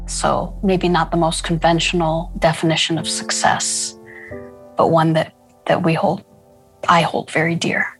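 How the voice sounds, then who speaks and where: A woman telling stories from her work in an interview, earnest and reflective, with many pauses and fillers. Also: sits low, flat delivery, faint music behind. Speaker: founder of a refugee school. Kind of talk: interview storytelling.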